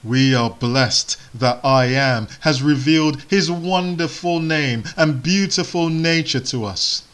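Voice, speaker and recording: A man whose first language is Igbo, giving a sermon in slow, measured phrases with solemn pauses. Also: fairly high pitch, fairly bright tone, clean tone. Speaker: preacher.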